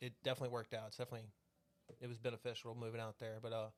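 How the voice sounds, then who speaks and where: Southern guy, quick rambling podcast guest, lots of fillers and cursing. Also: mid-range voice, completely flat delivery. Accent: Southern American. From American South. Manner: fast, rambling, heavy on fillers and swearing. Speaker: country singer, podcast guest. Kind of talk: casual podcast chat.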